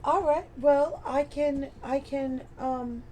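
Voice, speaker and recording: A woman's voice: sits high, slow pace, a little mellow, slight background noise, pitched above the performer's own voice. A woman voicing a distracted, kindly male frog-folk artificer, polite and a little fussy, in a tabletop role-play. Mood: friendly. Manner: polite, fussy shopkeeper talk.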